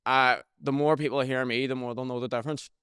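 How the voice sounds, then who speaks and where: A young man with a Belfast accent talks loosely on a podcast, swearing freely and tagging sentences with "do you know what I mean". His voice is smooth and clear, at an ordinary male pitch. The recording is dry and close. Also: fairly even intonation, fast, fairly bright tone, no room sound. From Northern Ireland. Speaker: rapper guest. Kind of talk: casual podcast chat.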